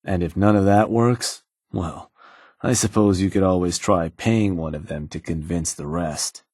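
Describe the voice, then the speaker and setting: Game role: a laconic raider lieutenant, very low husky voice, dry studio sound. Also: male voice, unhurried, a little mellow, no room sound. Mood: matter-of-fact. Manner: terse, businesslike, dry. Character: gruff male raider lieutenant, laconic, world-weary. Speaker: game character. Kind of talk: video game voice lines.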